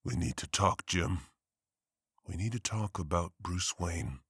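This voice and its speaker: A man's voice: low-pitched, unhurried, a bit bright, slightly rough, no echo, clean studio sound, exaggerated voice. An actor playing a blunt, sardonic male district attorney.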